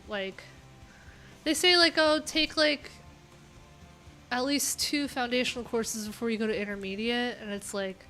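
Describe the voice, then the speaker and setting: Casual woman streamer, mid-pitched, rambly and filler-heavy, soft music underneath. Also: low-quality recording. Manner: chatty, rambling, many fillers and restarts. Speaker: live coding streamer. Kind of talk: casual livestream chat.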